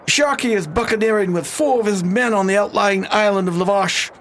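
A man's voice: high-pitched, brisk, slight background noise, natural voice. A man playing a worldly, wryly amused colonial governor in a radio drama, with formal, theatrical old-fashioned speech. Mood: genial.

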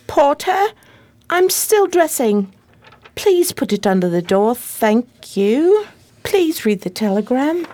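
An actress playing an earnest detective's assistant, telling the story formally and expressively in a period radio drama. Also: female voice, high-pitched, big pitch swings, slow pace, mellow, no room sound, exaggerated voice. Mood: bewildered. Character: earnest, easily astonished female detective's assistant and narrator.

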